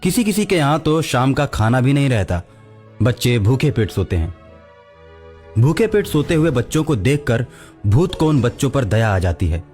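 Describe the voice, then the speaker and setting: A man's voice: medium pitch, big pitch swings, moderate pace, a little mellow, faint music behind. A man narrating a scripted cartoon story as the storyteller.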